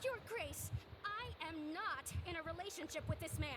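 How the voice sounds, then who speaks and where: A woman's voice: extremely high pitch, lively intonation, normal speed, heavy background noise. A woman voicing a strict, exasperated young female technical assistant in a video game. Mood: indignant. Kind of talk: scripted video game dialogue.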